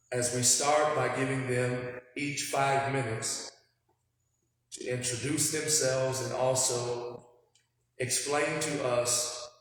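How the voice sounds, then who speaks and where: A man moderating a panel, giving a formal public address in a solemn, measured, preacher-like way. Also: medium pitch. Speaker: moderator opening a panel discussion. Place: large indoor hall with long reverb. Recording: very echoey hall with faint noise.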